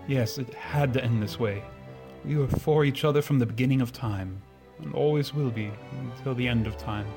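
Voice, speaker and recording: An actor playing an ardent, tender and courtly gentleman suitor in a formal 1940s radio romance style. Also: medium pitch, moderate pace, natural voice. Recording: string music under the voice.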